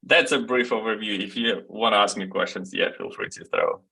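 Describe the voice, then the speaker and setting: Husky, bright-toned man. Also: on the low side, fairly quick. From Ukraine. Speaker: guest, QA automation engineer. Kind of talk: casual interview chat.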